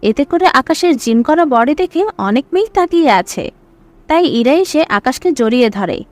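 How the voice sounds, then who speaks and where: A woman's voice: high-pitched, animated, moderate pace, a little mellow, no room sound. A woman reading scripted story narration as the narrator.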